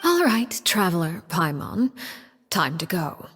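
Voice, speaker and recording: A woman's voice: lowish voice, big pitch swings, fairly bright tone, faint music behind, full character voice. A woman playing a poised, calculating diplomat in a video game, speaking formally and politely with veiled menace. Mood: cool, composed. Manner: formal, measured, polite and elegant. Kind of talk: scripted video game voice acting. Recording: long hall reverb.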